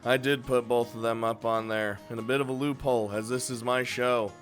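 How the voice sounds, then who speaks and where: A man's voice: medium pitch, fairly even intonation, fairly quick, faint music behind. A man hosting a casual, unscripted countdown of games, chatty and crude, swearing freely. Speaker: video host and game reviewer.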